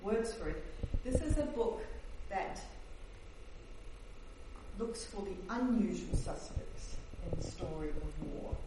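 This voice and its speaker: A woman's voice: mid-range voice, expressive, slow pace. Woman academic giving a hesitant book talk, heard from a distance in a very echoey hall. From Netherlands, raised in New Zealand. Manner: hesitant, many fillers and restarts. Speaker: historian presenting her book. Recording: echoey hall, distant mic, faint hiss.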